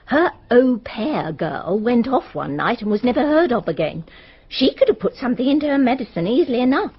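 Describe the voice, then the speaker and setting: On a dull radio drama recording, a middle-aged local woman full of gossip. She is wry and sure of herself, in a breathy voice with lively swings of pitch. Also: fairly quick, full character voice. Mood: gossipy. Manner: chatty, wry, opinionated. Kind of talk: radio mystery drama acting.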